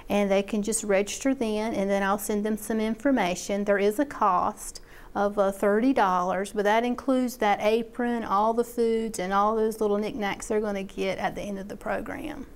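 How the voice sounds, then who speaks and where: A woman's voice: medium pitch, darkish tone, clear, resonant tone. A middle-aged woman, an extension agent explaining a program in a chatty way, with many fillers. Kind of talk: interview about a program.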